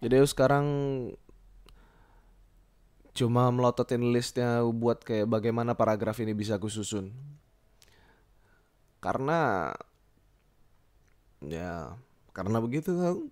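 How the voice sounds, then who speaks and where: A young man talks casually alone as a podcast host, rambling and halting as he thinks aloud and repeats himself. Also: mid-range voice, a little mellow, no echo, clean studio sound. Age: young adult. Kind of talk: casual solo podcast monologue.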